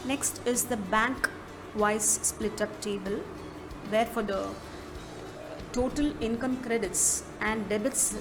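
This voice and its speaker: Woman narrating, music behind. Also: fairly high pitch. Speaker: software demo presenter. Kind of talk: step-by-step app walkthrough narration. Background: clearly audible music.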